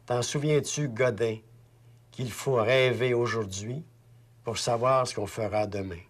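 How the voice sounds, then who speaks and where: A man with a Québécois accent reciting a poem deliberately, as if speaking directly to someone. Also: medium pitch. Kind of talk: spoken poetry recital.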